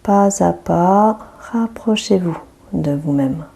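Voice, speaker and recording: A woman guiding a yoga nidra meditation with a soft, breathy voice, giving calm, gentle instructions with long pauses. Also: fairly low pitch, slight background noise. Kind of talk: guided relaxation meditation.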